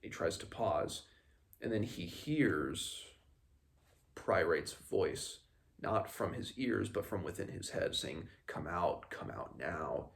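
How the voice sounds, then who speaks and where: A man hosting a book read-along, casually recapping the story in a halting, conversational way. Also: sits low, animated, gravelly.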